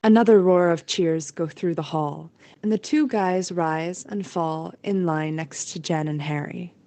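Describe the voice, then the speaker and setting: A woman's voice: fairly low pitch, measured pace, warm tone. A woman narrating a scripted audio drama as its storyteller.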